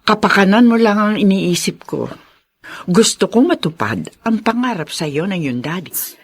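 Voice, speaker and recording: An actress playing a scheming, indignant middle-aged stepmother in a Filipino radio drama, theatrical and forceful. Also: medium pitch, expressive, normal speed, husky. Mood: controlled, bossy.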